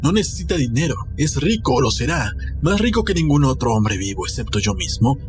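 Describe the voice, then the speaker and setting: A proud gentleman played by a male narrator speaks formally and grandly, guarding secrets and insisting on his sanity. It is a storybook reading with music clearly behind it. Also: medium pitch, big pitch swings, brisk, natural voice. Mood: grandiose, secretive.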